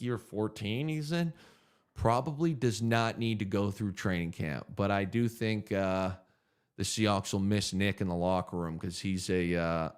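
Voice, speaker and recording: A man in his thirties hosting a casual livestream Q&A, laid-back and joking as he thinks aloud through viewer questions. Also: lowish voice. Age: 30s. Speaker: podcast host answering viewer questions.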